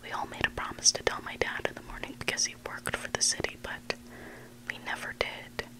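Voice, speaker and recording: A person whispering a story in ASMR style as a host, hushed and deliberate, pausing between short phrases. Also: low-quality recording. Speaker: ASMR host reading submitted online stories.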